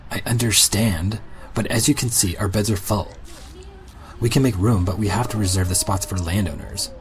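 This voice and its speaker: Audio drama doctor, played by a man: deep-voiced, firm, tired, bound by the rules, faint noise behind. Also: normal speed, close to an everyday voice. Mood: firm, weary. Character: weary, rule-bound male army-camp doctor, firm.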